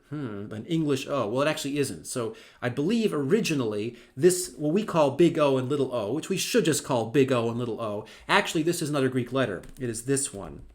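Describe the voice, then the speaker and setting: A middle-aged male university lecturer giving a recorded lecture, talking in a conversational way with wry asides and plenty of ums and restarts. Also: medium pitch.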